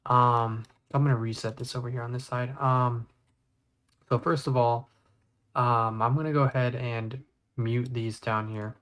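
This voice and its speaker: A man with a mid-range voice talks through a software tutorial. He is casual and works step by step, often thinking aloud as he goes. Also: mid-range voice, flat delivery, a little slow. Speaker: video editing tutorial presenter. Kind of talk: casual screen-recorded tutorial.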